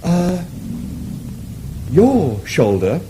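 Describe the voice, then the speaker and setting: A man voicing an anxious, horrified squeamish man in a shrill, flustered protesting manner. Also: huge pitch swings, very slow, mellow, a little hiss or hum, exaggerated voice.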